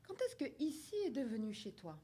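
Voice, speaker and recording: An earnest, probing Algerian immigrant woman, played by an actress on stage. Her voice is high and swings widely in pitch. She presses her questions with feeling. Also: sing-song, fairly quick, dark tone, slightly rough. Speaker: actor in a public reading. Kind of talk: staged play reading.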